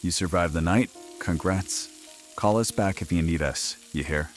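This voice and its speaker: An actor playing a commanding late-night radio host with a dry, sardonic manner in a horror audio drama. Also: male voice, sits low, normal speed, close to an everyday voice. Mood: dry, composed. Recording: music behind the voice.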